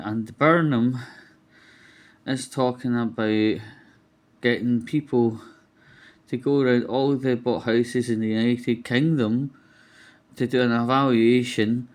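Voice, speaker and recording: A man giving a casual, opinionated monologue as a vlogger, rambling and colloquial, with some mild swearing. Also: medium pitch.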